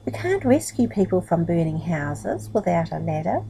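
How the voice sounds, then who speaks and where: A woman's voice: lowish voice, big pitch swings, normal speed, vocal fry, dark tone, slight background noise, low-quality recording. A woman voicing an eager, self-important, bumbling man in a children's storybook.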